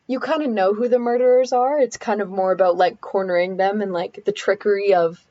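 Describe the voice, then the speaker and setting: A woman's voice: medium pitch, fairly even intonation, a little fast, dark tone, lo-fi sound. A teenage girl answering interview questions as a student guest, eager and giggly, with lots of fillers. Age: teens.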